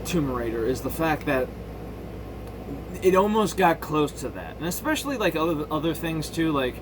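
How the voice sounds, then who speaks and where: A man with a slightly high voice talks off the cuff in casual, opinionated commentary. He stops, restarts and circles back on his points, with blunt slang and swearing. Clear background noise runs under the recording. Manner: halting, self-interrupting, circling back to rephrase. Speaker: video game movie commentator.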